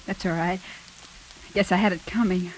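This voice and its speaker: An actress playing a guarded, brave female hostage in 1940s radio noir style, speaking earnestly with hesitant pauses. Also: fairly low pitch, fairly even intonation, close to an everyday voice, rough recording. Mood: guarded, subdued. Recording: hissy 1940s radio recording, rain behind.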